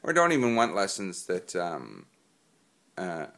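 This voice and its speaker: A man's voice: low-pitched, wildly swooping pitch, measured pace, creaky, fairly bright tone. A man with a head cold, stuffed up, giving casual singing tips and rambling with plenty of ums. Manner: rambling with many fillers. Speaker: singing vlogger. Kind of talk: casual spoken tips to camera.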